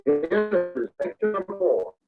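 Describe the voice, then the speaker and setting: A grave radio-drama narrator from the 1940s, delivering an accusing exposé with solemn, theatrical irony. The recording is tinny and narrow, like old radio. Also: male voice, high voice, huge pitch swings, a little slow, over loud music, full character voice. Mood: stern, accusing.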